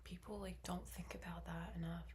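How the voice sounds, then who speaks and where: A woman in her twenties whispering in a soft, rambling ASMR monologue, full of fillers. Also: on the low side, level tone, moderate pace. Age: 20s. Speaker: ASMR creator. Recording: faint background noise.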